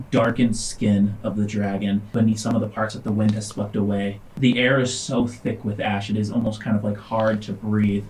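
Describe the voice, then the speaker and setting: A man improvising narration as game master, descriptive and halting, in short broken phrases. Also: fairly low pitch, a little mellow, a little hiss or hum, poor audio.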